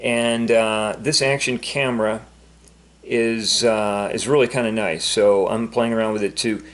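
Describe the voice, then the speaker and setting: A man talking casually and unscripted as a vlogger demonstrating something, in a halting, repetitive, rambling way. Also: lowish voice, steady tone. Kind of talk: casual unscripted explanation to camera.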